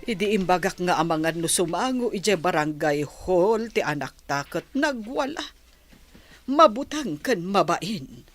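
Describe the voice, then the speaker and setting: A woman's voice: lowish voice, animated, a little slow, faint music behind. A woman playing a worried, fretful mother of an only child in a radio drama.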